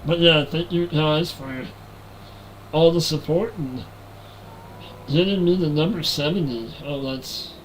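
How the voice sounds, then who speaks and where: Nasal guy in his 40s on a headset, kinda high voice, takes his time, just chatting with chat while he games. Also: a little slow, long pauses, a little hiss or hum, low-quality recording. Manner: relaxed, talks to chat while playing. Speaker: live-stream gamer. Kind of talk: casual live-stream chat while gaming.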